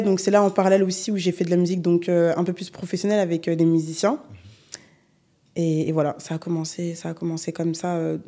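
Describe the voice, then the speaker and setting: A young adult woman singer from the Paris region, chatting in an unhurried way as a podcast guest, with frequent fillers. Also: fairly low pitch. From Paris region, France. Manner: relaxed, unhurried, many fillers. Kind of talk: casual interview chat.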